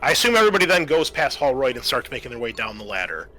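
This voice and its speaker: Male game master, bright edgy tone, deliberate halting narration, faint music bed. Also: medium pitch, big pitch swings. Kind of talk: narrating a tabletop roleplaying game.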